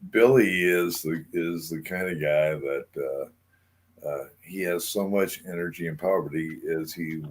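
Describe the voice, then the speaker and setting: A man hosting a casual radio show, talking in a halting way and repeating words as he explains. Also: low-pitched. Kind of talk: casual chat.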